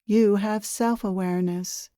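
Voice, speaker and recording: A woman leading a guided meditation, speaking in a gentle, soothing way with deliberate pauses. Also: medium pitch, steady tone, dry recording, clean studio sound. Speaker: guided meditation leader, channeler.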